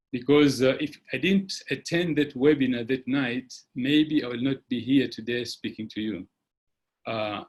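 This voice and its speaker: Man hosting a motivational webinar. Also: mid-range voice. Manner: pauses with frequent "uh", urging tone. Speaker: webinar host.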